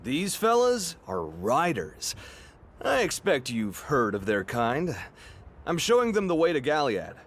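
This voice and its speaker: An actor playing an easygoing, friendly desert guide in a video game, with a casual, folksy way of talking. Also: male voice, fairly high pitch, huge pitch swings, measured pace, slight background noise. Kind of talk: video game cutscene acting.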